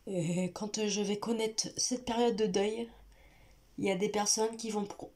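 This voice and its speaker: A woman's voice: on the high side, dark tone. A young woman vlogging with a stuffy nose from a cold, talking hesitantly and directly to her viewers with many fillers and restarts. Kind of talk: personal confessional vlog talk.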